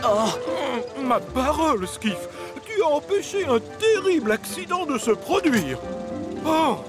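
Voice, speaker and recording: A man's voice: extremely high pitch, sing-song, slow pace, music in the background, full character voice. A man voicing a pompous but kindly railway controller in a children's cartoon, emphatic and authoritative. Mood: delighted.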